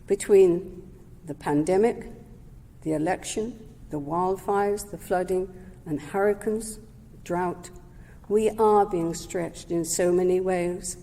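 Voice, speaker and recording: A woman giving a prepared talk at a Sunday service, measured and gentle, sometimes correcting herself mid-word. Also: mid-range voice. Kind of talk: prepared talk read aloud. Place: service hall. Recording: long hall reverb.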